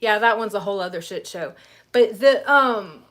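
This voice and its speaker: A woman's voice: medium pitch, lively intonation, normal speed, fairly bright tone. A woman co-hosting a casual livestream, greeting viewers in the chat by name as she talks. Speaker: livestream co-host.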